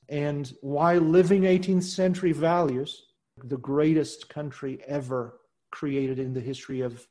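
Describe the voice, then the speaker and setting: Earnest man. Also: fairly high pitch. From Portugal. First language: Portuguese. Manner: earnest and measured, voice trailing off softly at phrase ends. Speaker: guest. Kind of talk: reflective interview talk.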